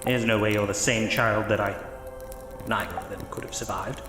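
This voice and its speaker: An actor playing an intense priest with dark secrets in a melodramatic horror audio drama. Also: male voice, fairly low pitch, steady tone, moderate pace, close to an everyday voice. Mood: menacing, shaken. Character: sinister priest with dark secrets, turning terrified. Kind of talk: melodramatic radio horror acting. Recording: echoey reverberant hall, music underneath.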